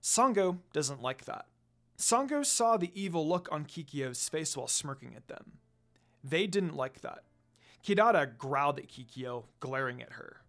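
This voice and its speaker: Fan fiction narrator, amateur storyteller, expressive, very varied pitch. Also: a little slow.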